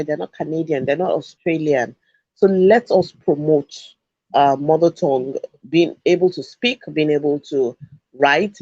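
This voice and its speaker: A man's voice: on the high side, a little mellow, dry recording, dull audio. A man, a Yoruba speaker, making earnest, emphatic points as an analyst on an education show. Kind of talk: studio discussion commentary.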